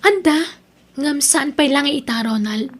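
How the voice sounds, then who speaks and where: A woman's voice: high-pitched, a little slow. A woman acting as a young student and girlfriend in an audio drama. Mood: sad. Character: Christine, young female student, tenant and girlfriend.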